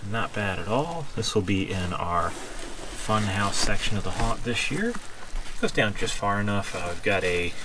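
A man's voice: fairly low pitch, animated, measured pace. A man talking casually and off the cuff through an unboxing and product review, thinking aloud as he handles things. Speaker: haunted-house hobbyist reviewing gear. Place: indoors at a cluttered workbench. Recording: clearly noisy room recording with clicks and handling.

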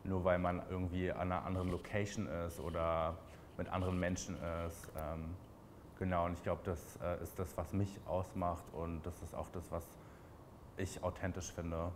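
A man in his twenties with a Berlin accent, answering interview questions in a relaxed, chatty way with many fillers. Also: low voice, moderate pace, background noise. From Berlin, Germany.

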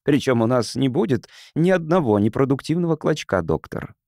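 A man's voice: mid-range voice, lively intonation, moderate pace, dark tone, dry recording, studio quality, close to an everyday voice. Guarded, formal councillor, read by a man. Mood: proud, emphatic. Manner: formal, explanatory. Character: proud, guarded male planetoid councillor, stiffly formal. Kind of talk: audiobook character reading.

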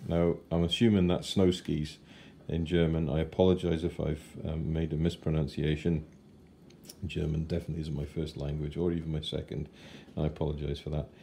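A man in his forties giving a chatty, unhurried model kit review at his kitchen table. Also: extremely low pitch, flat delivery, vocal fry, mellow, slight background noise. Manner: chatty and unhurried, with fillers and self-corrections. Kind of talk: casual unboxing review.

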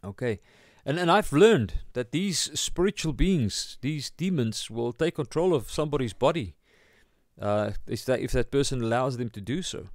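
A man with a South African accent giving an informal sermon as a pastor, halting as he searches for words. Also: medium pitch, lively intonation, no echo, clean studio sound. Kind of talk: informal sermon, Bible teaching.

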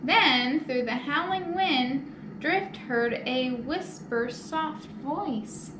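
High-voiced young woman reading slowly to children. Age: young adult. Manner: addresses young listeners with simple questions. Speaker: library storytime host reading to children. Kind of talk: children's picture-book read-aloud. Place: indoor children's library room. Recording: roomy echo, clear background noise.

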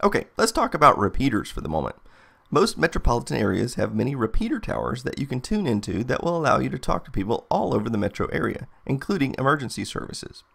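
Gravelly American man narrating a product test. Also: medium pitch, big pitch swings, fast, fairly bright tone. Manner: plain, methodical, matter-of-fact. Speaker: product reviewer, channel host.

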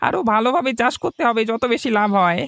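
A man's voice: extremely high pitch, big pitch swings, fast talker, vocal fry, a bit bright, smooth, full character voice. A man voicing an earnest, anxious younger-brother farmer in a fairy-tale cartoon.

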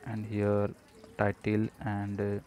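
Low-pitched man, flat halting tutorial narration. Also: slight background noise. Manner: halting, speaks in short fragments. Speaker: programming tutorial presenter. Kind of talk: step-by-step screencast tutorial narration.

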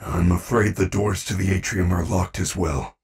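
A man voicing a gentle, earnest animatronic bear in a video game, speaking formally and carefully with few contractions. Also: low voice, steady tone, moderate pace, breathy edge, recorded from a distance, full character voice. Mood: earnest, concerned.

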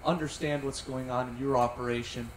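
A man's voice: medium pitch, fairly even intonation, fairly quick. A middle-aged man, a marketing director, speaking in a polished corporate way on a panel into a handheld mic in a tent. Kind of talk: panel discussion. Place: large tent at an outdoor event. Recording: roomy sound from some distance, faint noise.

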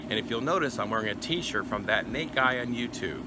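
A man's voice: lowish voice, expressive, brisk. A middle-aged man hosting his travel vlog outdoors by an RV, talking to camera in a friendly, chatty way on a lapel mic. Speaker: vlogger host. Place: outdoors at a campground beside an RV. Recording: loud outdoor background noise.

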